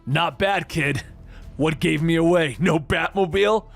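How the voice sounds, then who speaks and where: A man's voice: fairly high pitch, unhurried, creaky, faint music behind. A man voicing a sardonic, menacing young masked antihero in a comic book story, with a dry, taunting manner. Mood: amused.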